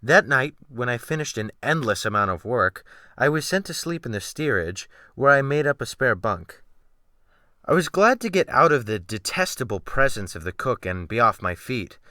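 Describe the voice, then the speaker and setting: Male narrator for classic fiction: theatrical, deliberate phrasing, animated intonation, medium pitch, dry close sound. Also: no echo. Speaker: audiobook narrator. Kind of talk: dramatic reading of classic fiction.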